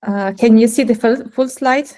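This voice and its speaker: A woman's voice: on the high side, steady tone, normal speed, dark tone. A young woman, a native Italian speaker, giving a technical talk over a video call, hesitant with many fillers and restarts. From Italy. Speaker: guest speaker.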